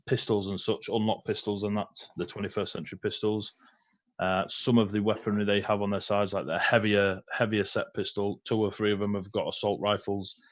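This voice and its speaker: A man's voice: lowish voice, dry recording, highs cut off. A man running a tabletop game as game master, chatty and rambling, with fillers and laughs.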